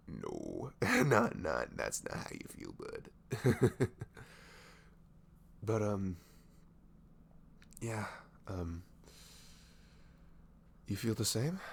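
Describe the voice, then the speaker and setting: Male actor as goofy, tender best friend for romantic ASMR audio drama; low register, vocal fry, halting and sighing, faint noise behind. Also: huge pitch swings, heavily acted. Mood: overjoyed, tender. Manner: halting, stammering, sighing, breathy laughs, often dropping to whispers. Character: nervous, dorky male best friend confessing his love, tender and goofy. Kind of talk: roleplay ASMR audio drama, intimate and conversational.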